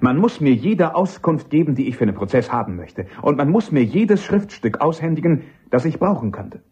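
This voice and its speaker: An actor playing a courteous but persistent army captain and defence lawyer in a German radio drama, speaking formally. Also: male voice, on the high side, big pitch swings, a little fast, darkish tone, husky, dull audio, exaggerated voice. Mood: firm, insistent. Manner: courteous, formal, deferential yet insistent.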